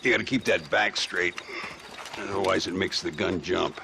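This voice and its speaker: An actor playing an aging, wry and world-weary gunslinger in a Western video game, with a gravelly, drawling, theatrical delivery. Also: male voice, deep voice, normal speed, background noise. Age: older. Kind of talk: game cutscene acting, Western drama.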